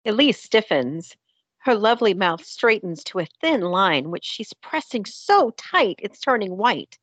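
A woman narrates a radio play from a script. Her voice is medium in pitch, recorded dry and close to the microphone. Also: big pitch swings, measured pace, fairly bright tone, no room sound.

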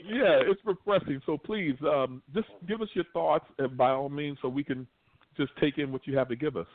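A man chatting on a call-in talk show, halting and filler-heavy, laughing easily. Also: on the high side. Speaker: call-in participant. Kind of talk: casual talk-show chat. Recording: tinny phone line.

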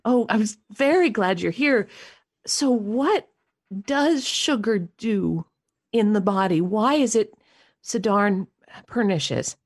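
A woman's voice: medium pitch, lively intonation, slow pace, no echo, clean studio sound. A middle-aged or older woman hosting a radio interview with a scripted introduction. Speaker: radio show host.

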